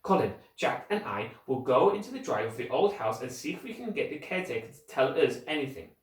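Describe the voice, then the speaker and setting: A bossy schoolboy in charge of his gang in a children's story, voiced by a man. He sounds brisk and decisive, with lively rises and falls. The room echoes around him. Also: medium pitch, lightly acted. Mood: commanding. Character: bossy, self-important schoolboy leader giving orders. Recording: roomy echo, distant microphone.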